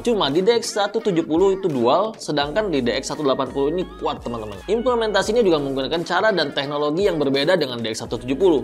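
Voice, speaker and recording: A man with an Indonesian accent presenting a casual gear review, talking informally to viewers as friends. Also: a little high. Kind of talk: casual audio gear review narration to camera. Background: background music.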